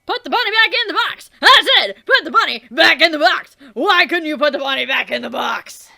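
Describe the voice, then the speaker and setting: A woman's voice: high voice, lively intonation, a little fast, creaky, pushed and bright. A young woman hosting a let's play, reading a webcomic aloud with theatrical delivery, swearing and trailing off into casual asides.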